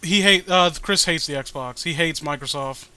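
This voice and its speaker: High-voiced man, bright and foul-mouthed. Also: moderate pace, clean tone. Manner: profane, sarcastic ranting. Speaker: host. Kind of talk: casual mocking read-along commentary.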